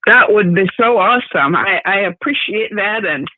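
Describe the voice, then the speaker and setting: A woman's voice: mid-range voice, lively intonation, moderate pace, no room sound. A Jamaican woman guest, a carnival organizer, talking earnestly in a casual podcast chat. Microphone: phone call. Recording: tinny phone line.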